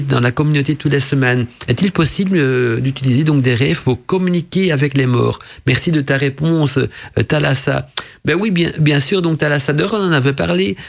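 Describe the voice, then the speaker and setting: A man's voice: mid-range voice, vocal fry. A male radio host who is not a native French speaker, reading listeners' messages and interpreting their dreams in a rambling, casual way full of fillers and restarts.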